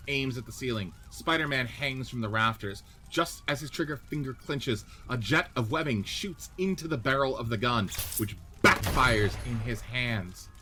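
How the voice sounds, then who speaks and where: A man narrating screenplay scene directions as a podcast host and reader. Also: mid-range voice. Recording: faint background noise.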